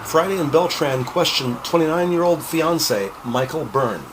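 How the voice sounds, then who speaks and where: A man's voice: a little high, a little slow, background noise. An American man reading scripted crime-drama narration. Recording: music behind the voice.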